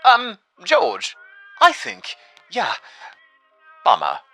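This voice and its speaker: A talking gramophone in a fantasy audio story, cheeky and brash. The voice sits high and swings widely, full of blustering mock heroism. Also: male voice, wildly swooping pitch, very slow, gravelly, pushed and bright, faint music behind, exaggerated voice. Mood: awkward.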